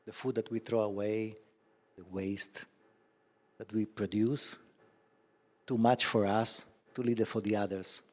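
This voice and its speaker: A middle-aged man with an Italian accent introducing himself informally to open a panel as its moderator, speaking haltingly. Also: lowish voice, steady tone, darkish tone. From Italy. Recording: echoey hall with long reverb.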